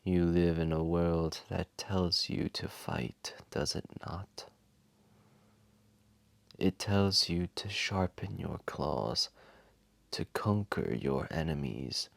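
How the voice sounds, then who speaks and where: Huge ancient dragon, deep creaky voice, extremely slow, solemn, tender, wise storyteller. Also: male voice, a bit bright, no room sound, exaggerated voice. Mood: gentle, wise. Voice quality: gravelly, breathy, half-whispered. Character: ancient, massive, wise dragon, gentle and remorseful. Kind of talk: slow dramatic storytelling to a single listener.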